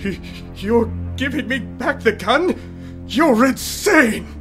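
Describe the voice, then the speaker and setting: High, whimpering cowardly doctor. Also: male voice, lively intonation, slow pace, a bit bright, faint music behind, heavily acted. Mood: tearful fear. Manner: stammering, pleading. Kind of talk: pulp radio serial parody acting.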